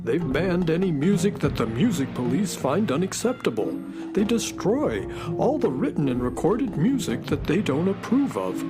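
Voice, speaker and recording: A man's voice: fairly high pitch, big pitch swings, moderate pace, loud music behind the voice. A man playing a worldly, wry space-adventurer sidekick in a children's audio drama, speaking expressively. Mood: concerned.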